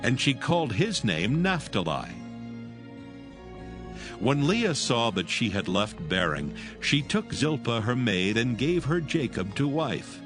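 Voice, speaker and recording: A man's voice: medium pitch, very animated, takes it slowly, music in the background. A man narrating scripture from a script in a solemn style.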